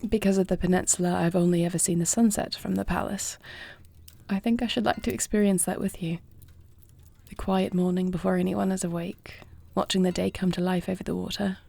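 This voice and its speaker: Woman acting a mellow, earnest young dragon queen. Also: fairly low pitch, steady tone, brisk, vocal fry, no room sound. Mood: curious, warm. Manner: earnest, formal, softly intimate. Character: compassionate young dragon queen, self-doubting warrior bride. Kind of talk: scripted fantasy audio roleplay.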